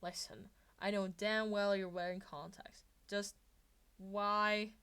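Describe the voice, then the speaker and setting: A woman's voice: mid-range voice, little pitch movement, takes it slowly, fairly bright tone, clear, resonant tone. Woman doing a tired grumpy male teacher in a story, blunt, fed up. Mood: exasperated. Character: weary, gruff adult male schoolteacher, exasperated.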